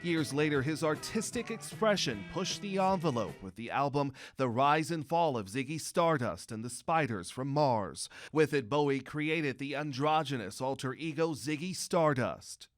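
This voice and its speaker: A man narrating a scripted news report in a measured, formal way. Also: fairly high pitch, animated. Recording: music bed under voice.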